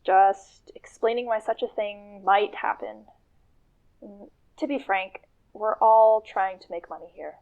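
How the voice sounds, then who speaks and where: A woman acting in a radio play as a self-conscious businesswoman, speaking in a halting, wry, self-interrupting way. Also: medium pitch, phone-quality sound, close to an everyday voice. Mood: guarded, hedging. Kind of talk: radio drama acting, halting confessional monologue.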